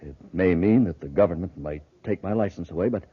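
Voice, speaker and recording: Husky, anxious businessman. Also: deep voice, fairly quick. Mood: earnest, anxious. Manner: earnest, hesitant. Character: nervous but honest businessman under blackmail. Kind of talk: 1950s radio serial acting. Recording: narrow, tinny old 1950s radio recording.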